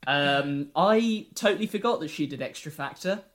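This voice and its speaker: Wry podcast host, slightly high voice. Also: male voice, expressive, moderate pace, a bit bright. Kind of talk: casual podcast chat.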